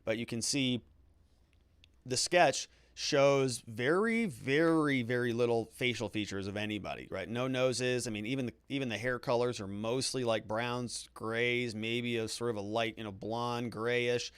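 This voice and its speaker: A male livestream host talks through his legal commentary in a mid-pitched voice. He thinks aloud, hedging as he goes and repeating key words for emphasis. The sound is close and dry, with no room echo. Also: unhurried, clear, resonant tone.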